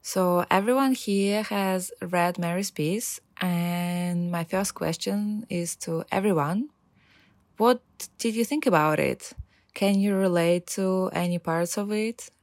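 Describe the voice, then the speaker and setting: Woman hosting a podcast, low voice. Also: fairly even intonation, measured pace, a little mellow, dry recording, studio quality. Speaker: podcast host. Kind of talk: introducing a podcast episode and guests.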